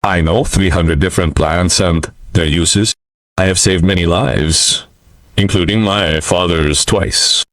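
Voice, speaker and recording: A man's voice: deep voice, fairly even intonation, a little slow, no echo, recorded from a distance. A man reading a patient young woman healer. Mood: calm. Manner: soft, patient.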